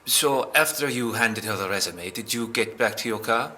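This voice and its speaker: A man's voice: mid-range voice, normal speed, bright, forceful tone, natural voice. A man playing a composed police interrogator, formal and businesslike, in an audio drama. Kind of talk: naturalistic audio drama acting.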